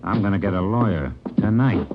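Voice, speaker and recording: Male actor as surly handcuffed crook: low pitch, whiny complaints, tough talk, muffled vintage radio recording. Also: fairly quick, natural voice. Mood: whining, threatening. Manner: griping, tough-talking. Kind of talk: 1950s radio crime drama acting. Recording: muffled old radio recording, music behind.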